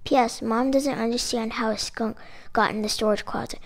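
A woman reading a children's picture book aloud in an expressive, emphatic storytelling manner. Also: on the high side, moderate pace. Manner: expressive, emphatic delivery with dramatic exclamations. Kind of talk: animated children's read-aloud.

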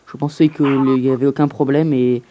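A man making a prank phone call, posing as an aggrieved customer and complaining in a halting way. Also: medium pitch, level tone, background noise. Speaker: prank caller.

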